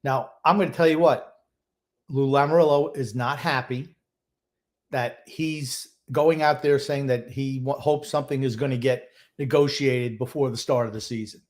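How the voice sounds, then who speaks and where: A man's voice: mid-range voice, steady tone, moderate pace. An older man co-hosting a sports podcast, giving opinionated, sardonic takes in a casual, grumbling way.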